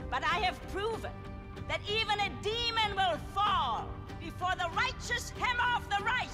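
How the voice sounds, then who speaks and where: An actress playing a grandiose, menacing female general, speaking in a measured, self-righteous and pompous way. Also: extremely high pitch, animated, over music. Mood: solemn, self-satisfied. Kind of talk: theatrical interview speech.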